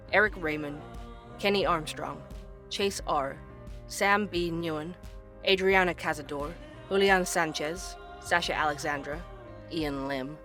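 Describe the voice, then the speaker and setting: A man playing an earnest, dogged investigative podcast host in an audio drama, with a crisp, measured delivery. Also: fairly high pitch, sharp tone. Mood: businesslike. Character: male investigative podcast host, dogged, weary young employee. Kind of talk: scripted podcast narration and audio drama dialogue.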